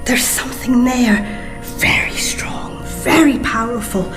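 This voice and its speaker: A probing, intense psychic medium in a radio play. Her voice is a little high, and she speaks haltingly and portentously over music. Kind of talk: radio drama acting.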